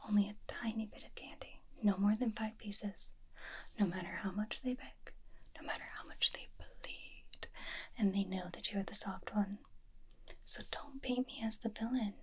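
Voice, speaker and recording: A young woman performing an ASMR roleplay as an affectionate, teasing superhero wife, speaking softly and intimately close to the microphone. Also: mid-range voice, steady tone. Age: young adult. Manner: soft, tender, slow and intimate, teasing. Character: affectionate, playfully bossy superhero witch doting on her husband. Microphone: close binaural ASMR microphone.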